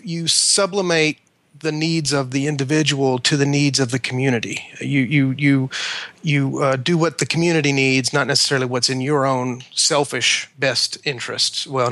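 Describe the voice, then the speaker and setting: Bright-voiced man, halting author guest. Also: mid-range voice, no room sound. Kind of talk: explaining his work in an interview.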